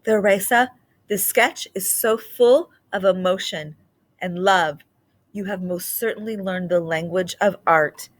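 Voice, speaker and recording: A woman voicing a gentle, admiring female art teacher in a storybook, sincere and encouraging. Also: mid-range voice, measured pace, no echo, natural voice. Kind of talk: storybook read-aloud dialogue.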